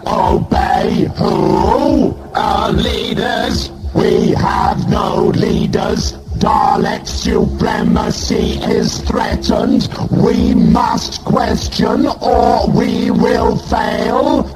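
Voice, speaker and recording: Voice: mid-range voice, extremely slow, few pauses, echoey room, loud music behind the voice, poor audio. An actor voicing fanatical alien war machines in an audio drama, with a harsh, grating, staccato delivery through an electronic robot filter. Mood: insistent, questioning. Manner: staccato, droning, shouted delivery. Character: fanatical alien Daleks, harsh armoured war machines arguing over orders. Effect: ring-modulated robotic voice filter. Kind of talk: science fiction audio drama acting.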